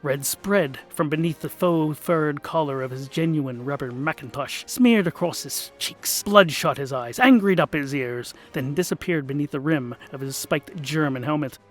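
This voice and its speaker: A man's voice: on the high side, moderate pace, few pauses, soft background music. A man narrating a comic fantasy audio drama in a wry, mock-epic, emphatic theatrical style.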